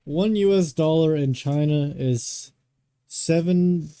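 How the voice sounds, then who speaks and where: A man's voice: fairly high pitch, slow pace, dark tone, clear, resonant tone, dry recording. A young American man co-hosting a podcast in casual banter, with a blunt, argumentative way of talking. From United States. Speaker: podcast co-host.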